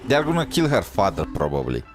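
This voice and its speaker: A man's voice: deep voice, huge pitch swings, fairly quick. A man giving casual gaming commentary as a streamer playing through a story. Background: game music.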